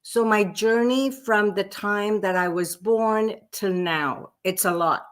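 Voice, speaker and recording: A woman in her fifties from Pakistan, a French teacher telling her life story in an interview, with frequent restarts. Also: mid-range voice. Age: 50s. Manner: slow, reflective storytelling with repeated restarts. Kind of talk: casual interview talk.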